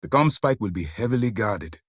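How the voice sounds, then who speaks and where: A stern military commander from a video game, with a low voice that moves widely between measured restraint and force. He phrases things formally and with authority, in a close, dry recording. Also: expressive, slightly rough, no room sound. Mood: briefing, firm. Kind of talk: scripted video game dialogue.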